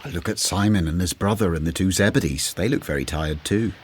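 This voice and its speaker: An actor playing a doubtful, weary disciple who was once a tax collector, speaking in an earnest, plaintive manner in a Bible radio drama. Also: male voice, deep voice, fairly quick, a little hiss or hum, close to an everyday voice.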